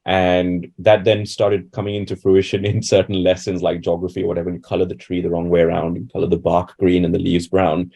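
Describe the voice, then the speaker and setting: A man's voice: low voice, completely flat delivery, brisk, vocal fry, few pauses, warm tone. A man from India giving reflective, conversational answers as a doctor being interviewed. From Bangalore, India.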